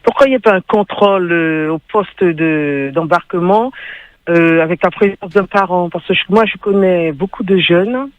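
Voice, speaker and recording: A man's voice: high voice, normal speed, no echo. A man phoning into a radio show, puzzled and asking questions. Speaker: caller. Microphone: phone. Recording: muffled phone line.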